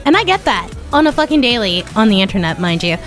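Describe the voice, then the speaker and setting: A young woman with a high-pitched voice, chatting as a podcast guest and rambling through many fillers and restarts. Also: very animated, fast, fairly bright tone, soft background music. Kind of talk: casual podcast chat.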